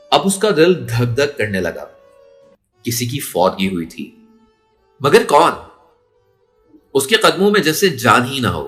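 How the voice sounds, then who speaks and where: A man's voice: medium pitch, a bit bright. A man narrating a story in a dramatic, emotive and suspenseful way. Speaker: audiobook narrator.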